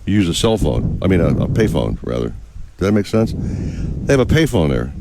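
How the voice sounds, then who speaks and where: A man's voice: low voice, very animated, normal speed, gravelly, background noise. A man hosting a fishing radio show, bantering and teasing in a casual, joking way.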